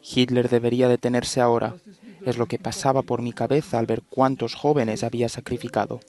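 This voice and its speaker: Flat-toned male Allied soldier, acted by a man. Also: mid-range voice, brisk, lightly acted. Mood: sober, reflective. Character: reflective male Allied soldier giving war testimony. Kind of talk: scripted testimony in a radio drama.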